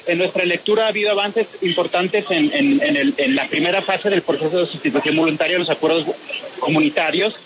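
A man from Spain with a Spanish accent, giving a measured, analytical radio interview and often pausing on "eh". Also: a little high, background noise. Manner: measured and analytical, with frequent "eh" fillers and restarts. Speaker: peace process analyst.

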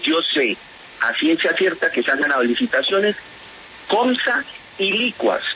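A man from Spain who speaks with a Bogotá accent, his voice bright and forward. He talks haltingly, restarting and repeating words as he goes. Faint hiss sits under the line. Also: on the high side, sing-song, rough recording. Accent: Bogotá Colombian Spanish. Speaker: interviewee, whistleblower. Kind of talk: phone interview on radio.

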